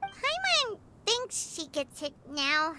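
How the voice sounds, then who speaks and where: A woman voicing a tiny floating girl companion who is excitable and refers to herself by name. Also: very high-pitched, takes it slowly, noisy background, heavily acted. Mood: curious. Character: tiny floating girl companion, chirpy, talks in third person.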